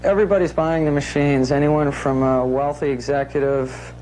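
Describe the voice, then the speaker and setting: Guy in an old tv interview, mellow dark voice, pretty monotone. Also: on the high side, normal speed, low-quality recording. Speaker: news interviewee. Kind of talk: unscripted interview remarks. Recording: old TV interview, faint noise.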